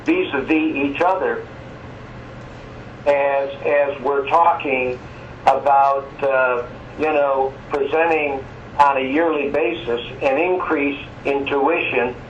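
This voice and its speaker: A man speaking haltingly and meandering through questions as a board member in a telephone meeting. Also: medium pitch, steady tone. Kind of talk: informal meeting discussion. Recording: echoey muffled phone line, faint noise.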